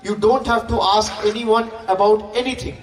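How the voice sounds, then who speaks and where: High male voice, Indian accent, emphatic campaign oratory, repetitive phrasing, long reverberant public-address sound, far from the microphone. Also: steady tone, normal speed. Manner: emphatic, repetitive, with rhetorical questions. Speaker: political campaign speaker. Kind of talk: political stump speech at a public rally. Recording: distant, echoey, noisy public-address sound.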